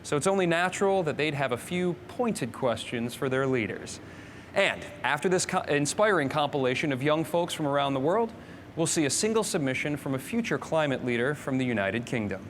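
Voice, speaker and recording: A man's voice: on the high side, animated, brisk. Upbeat guy hosting a show, scripted, light hum in the background. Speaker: host.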